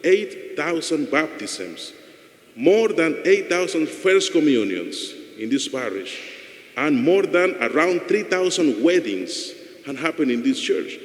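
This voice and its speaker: A man, a parish priest whose first language is Spanish, speaking accented, halting English to his congregation in a church. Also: on the high side, very animated. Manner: halting non-native English with "no?" tags. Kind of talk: homily and informal remarks to a congregation. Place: inside a church. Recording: echoey church with long reverb.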